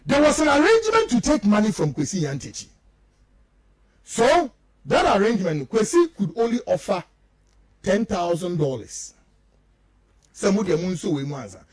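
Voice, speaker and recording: A man's voice: high-pitched, no echo. A man with a Ghanaian accent giving impassioned, accusatory radio commentary, repeating phrases for stress and switching between two languages. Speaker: political commentator on a radio show.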